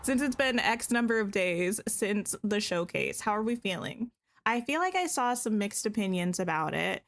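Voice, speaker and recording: Female game streamer, casual and chatty with her chat, slightly scratchy voice, mid-range pitch. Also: animated, moderate pace, fairly bright tone. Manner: chatty, greets and answers viewers, thinks aloud. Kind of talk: casual live-stream chat while playing.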